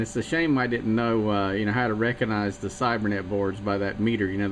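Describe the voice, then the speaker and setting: A man's voice: on the low side, a little hiss or hum, low-quality recording. A man from South Carolina, a radio hobbyist, talking through circuit details in a thoughtful, meandering way. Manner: thoughtful, meandering, many fillers. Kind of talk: technical chat about radio circuits.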